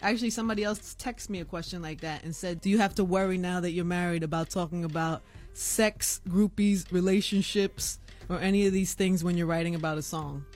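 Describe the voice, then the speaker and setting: A woman's voice: fairly low pitch, steady tone, a little fast, darkish tone, soft background music. A woman hosting a radio show, chatting casually on a studio microphone, playful and teasing with her guest. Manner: playful, teasing, laughs often. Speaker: radio host.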